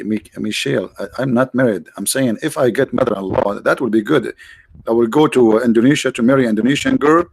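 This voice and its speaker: A man's voice: mid-range voice, gravelly, darkish tone, no echo. A man hosting a livestream, talking casually and sarcastically, with many restarts and fillers. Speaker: livestream host.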